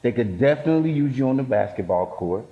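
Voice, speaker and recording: A man's voice: medium pitch, animated, fast talker, phone-quality sound, close to an everyday voice. A man playing a laid-back, affectionate college student boyfriend in a stage play. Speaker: stage actor.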